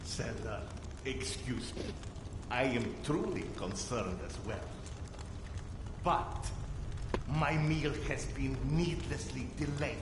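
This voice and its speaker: A man's voice: mid-range voice, slow, pauses often, background noise, close to an everyday voice. An actor playing a sardonic, hungry man wandering through ruins, with a dry, mocking delivery. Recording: echoey hall with music.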